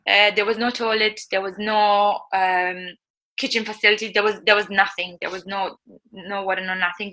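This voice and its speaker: Bright, hesitant woman from eastern Europe. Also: mid-range voice, poor audio. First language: not English. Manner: hesitant, many fillers and restarts, laughs often. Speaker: guest. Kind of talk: first-person interview account.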